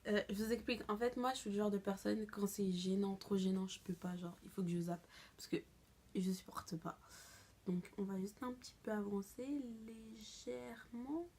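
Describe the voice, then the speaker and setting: A woman's voice: mid-range voice, fairly even intonation, normal speed, darkish tone, breathy edge. A young woman vlogger giving a casual reaction in a slangy, exclamatory way.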